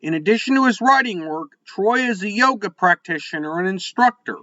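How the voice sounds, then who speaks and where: A man's voice: sits high, animated, brisk, pushed and bright, lo-fi sound. A man hosting a podcast, reading a scripted introduction and asking questions from a list with hesitant "uh"s. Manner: reads from a list, hesitant "uh" between phrases. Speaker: podcast host.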